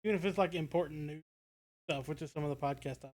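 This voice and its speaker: A man's voice: a little high, quick pace, darkish tone. An American man co-hosting a podcast in casual discussion, feeling his way through his thoughts in hesitant, circling phrases. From United States. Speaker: podcast co-host.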